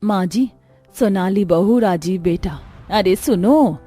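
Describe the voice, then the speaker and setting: A woman's voice: medium pitch, slow, dark tone, soft background music. A woman voicing a kindly, reassuring middle-aged village mother-in-law in a cartoon story, motherly and affectionate. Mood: eager.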